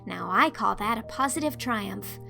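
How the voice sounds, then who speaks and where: A woman voicing an affectionate, spirited teenage girl with heartfelt, expressive delivery in an audiobook drama. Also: medium pitch, moderate pace, vocal fry, music in the background.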